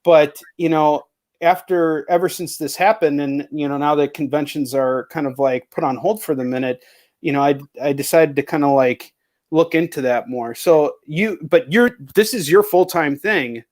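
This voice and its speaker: A man's voice: on the high side, moderate pace, darkish tone. A man hosting a casual livestream interview, rambling and rephrasing his questions as he goes, with some swearing. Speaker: host interviewing a guest.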